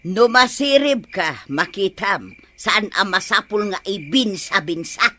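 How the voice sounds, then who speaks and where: A woman playing a nagging, suspicious village wife with an Ilocano accent in a radio comedy drama, scolding and sharp-tongued. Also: medium pitch, animated, unhurried, slight background noise.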